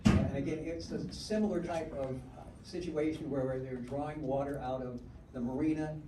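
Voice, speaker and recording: A man's voice: on the high side, steady tone, brisk, warm tone. A man answering audience questions at a homeowners meeting, informative and full of uh and um. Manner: informative, with frequent uh and um. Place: community meeting hall. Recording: echoey, distant, noisy meeting room.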